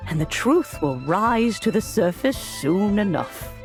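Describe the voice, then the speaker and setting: An actress voicing an elderly, fond grandmotherly mentor in a game cutscene who talks gently and unhurriedly. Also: mid-range voice, sing-song, faint music behind. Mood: serene fond. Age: older. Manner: gentle, unhurried, softly laughing. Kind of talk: video game cutscene voice acting.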